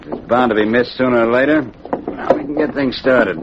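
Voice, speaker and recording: An actor playing a cold, slick racketeer boss, menacing and commanding, in a 1940s radio drama. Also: male voice, mid-range voice, fairly quick, close to an everyday voice. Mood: smug menace. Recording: muffled, hissy old radio recording.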